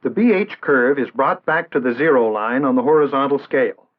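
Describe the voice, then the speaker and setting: An American man reading scripted instructional narration in a measured, formal, didactic way, in the style of an early 1960s training film. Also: medium pitch. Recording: tinny band-limited vintage 1960s film soundtrack.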